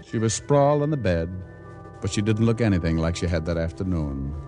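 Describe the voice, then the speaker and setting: An actor playing a hard-boiled, wisecracking private detective in vintage radio noir, with a wry, deadpan, slangy delivery. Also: male voice, low voice, fairly quick, close to an everyday voice. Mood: deadpan narrating. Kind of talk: 1940s-50s radio noir acting and narration. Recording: vintage radio recording with music behind.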